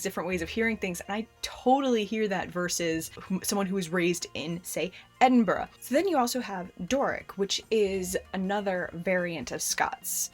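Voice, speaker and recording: A young American woman hosts her own channel, talking in a chatty, animated way and often restarting her sentences. Her voice sits at a middling pitch, and faint music plays quietly underneath. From United States. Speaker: host. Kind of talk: casual explanatory talk to camera.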